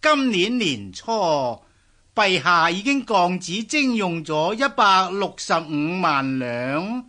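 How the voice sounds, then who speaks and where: A man's voice: high voice, sing-song, takes it slowly, sharp tone, heavily acted. A man playing an obsequious eunuch servant in a radio drama, drawing out his words and eager to agree. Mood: deferential, reporting. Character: fawning palace eunuch servant of the emperor. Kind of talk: Cantonese radio drama acting.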